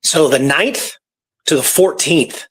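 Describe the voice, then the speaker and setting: A man hosting a talk show, retelling a true story in a casual, animated way with repeated phrases and pauses for drama. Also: on the high side, slightly rough. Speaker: podcast host telling a true historical story.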